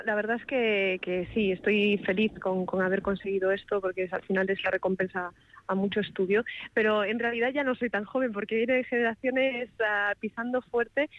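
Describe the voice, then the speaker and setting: Flat-toned woman, muffled. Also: medium pitch, no echo. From Galicia, Spain. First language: Galician. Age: 30s. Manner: thoughtful, restarts often, even delivery. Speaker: sommelier interviewee. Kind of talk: radio interview answers.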